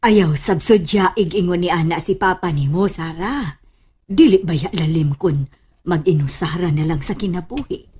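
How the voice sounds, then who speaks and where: A woman playing a motherly middle-aged character in a radio drama, gracious and gently chiding, with a husky voice. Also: fairly low pitch, normal speed. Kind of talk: Filipino radio drama acting.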